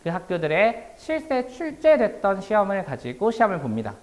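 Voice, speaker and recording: A male academy instructor walks listeners through a prepared class presentation. His voice is a little hoarse and worn, and his pitch moves widely as he explains things politely and formally. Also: wildly swooping pitch, moderate pace.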